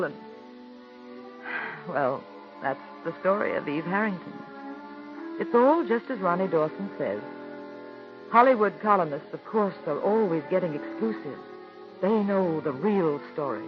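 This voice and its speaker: An actress playing a bitter, sharp-tongued playwright's wife, with dry, biting irony, in a 1940s radio drama. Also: female voice, lowish voice, close to an everyday voice. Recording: dull old radio broadcast with music.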